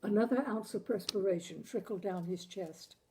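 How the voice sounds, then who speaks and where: A woman narrating a short story in an expressive, deliberate audiobook style, savoring the phrasing. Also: mid-range voice, noisy background. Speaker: literary reader, storyteller.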